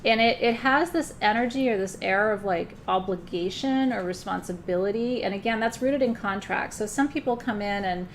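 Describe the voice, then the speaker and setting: A woman's voice: medium pitch, normal speed, few pauses, a little hiss or hum. A woman teaching a live spiritual class in a loose, conversational way, often checking in with her listeners. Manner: loose, frequent fillers and restarts.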